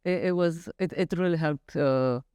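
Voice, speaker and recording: A woman's voice: sits low, moderate pace, mellow, smooth, no echo, clean studio sound. A woman hosting an interview, asking questions politely with hesitant phrasing and fillers. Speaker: podcast host.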